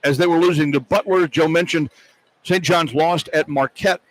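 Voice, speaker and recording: A man's voice: on the high side, normal speed, poor audio. A man working as a play-by-play announcer, giving sports radio commentary.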